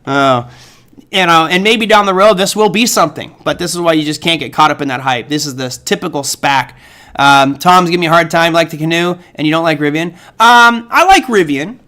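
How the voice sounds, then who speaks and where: A man's voice: a little high, a little fast, pushed and bright. A middle-aged man hosting a live trading stream, chatting casually with viewers in a rambling, joking way full of fillers. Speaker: host of a trading livestream. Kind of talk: casual livestream chat answering viewers.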